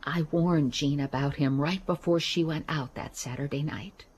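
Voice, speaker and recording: Low-voiced, weary American woman witness. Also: moderate pace, a little mellow, slightly rough. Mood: grim, matter-of-fact. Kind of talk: naturalistic radio drama acting.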